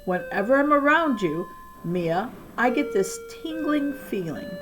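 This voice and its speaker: A woman's voice: fairly high pitch, very animated, a little slow, noisy background, voice pushed up. Woman reading as an insistent, pleading schoolboy. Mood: eager, pleading. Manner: pleading, emphatic. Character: earnest, insistent young schoolboy with a crush.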